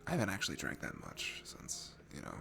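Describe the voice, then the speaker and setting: A man playing a shy, nervous, sweet young guy at a bar in an intimate ASMR roleplay, stammering and hesitant, with a soft gravelly voice that drops into hushed asides. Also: brisk, natural voice. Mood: confiding. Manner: hesitant, stammering, self-deprecating, breaking into hushed breathy asides. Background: music.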